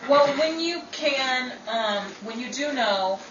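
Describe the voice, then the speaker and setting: A woman who hosts and performs in a radio-style show, animated as she announces and chats with castmates. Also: high voice, takes it slowly, lo-fi sound. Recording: noisy echoey live room, far from mic.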